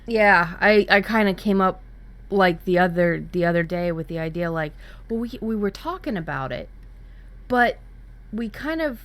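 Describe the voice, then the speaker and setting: A woman with a slightly low voice hosts a podcast in a casual, conversational way. She talks informally and haltingly, circling back to reword her thoughts as she goes. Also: animated, fairly bright tone. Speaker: podcast host.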